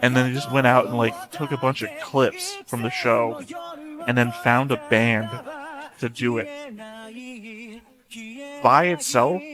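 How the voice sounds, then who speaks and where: A man hosting a reaction video, talking casually and rambling as he thinks aloud. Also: mid-range voice. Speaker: host of an anime reaction channel.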